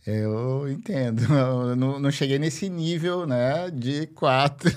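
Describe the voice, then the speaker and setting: A man with a mid-pitched voice hosts a casual conversation. He builds his thoughts haltingly in short pieces, drifting into anecdotes and checking in with little tag questions. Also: darkish tone. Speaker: interview host.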